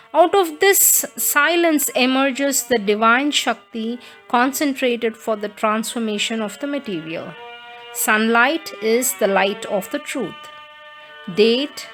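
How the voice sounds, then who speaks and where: A woman reading a spiritual book aloud in a devotional audiobook style, with measured, deliberate phrasing and pauses. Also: a little high, fairly bright tone.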